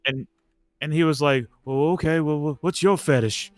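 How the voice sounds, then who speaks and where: A young man telling a casual personal story as a guest, halting and repeating words as he quotes dialogue. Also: fairly high pitch. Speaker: livestream guest. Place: online voice chat on a livestream. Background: music playing behind the voice.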